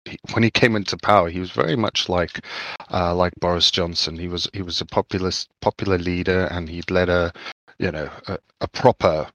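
A man from Zimbabwe, co-hosting a podcast, talks in a halting, thoughtful way and often repeats his words. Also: deep voice, fairly even intonation, a bit bright, no echo. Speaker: podcast co-host. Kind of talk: casual chat.

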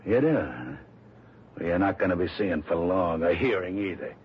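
An actor playing a tough, cocky crook on the run, talking slangy and menacing in a 1960s radio crime drama. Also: male voice, deep voice, lively intonation, moderate pace. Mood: gloating threat. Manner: slangy, menacing wise-guy talk. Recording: muffled old radio broadcast, faint hiss.

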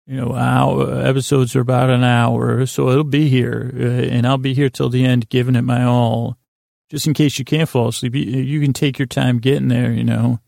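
A man with a creaky voice hosting a bedtime podcast, rambling in a meandering, halting and repetitive way to help listeners fall asleep. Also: mid-range voice, little pitch movement, darkish tone, no echo, studio quality. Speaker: podcast host.

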